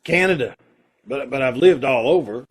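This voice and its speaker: A man's voice: medium pitch, animated, moderate pace, a little hiss or hum, close to an everyday voice. A man playing a guarded, world-weary, wry prisoner with a curt, casual manner in an amateur radio play. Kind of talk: amateur old-time radio play acting.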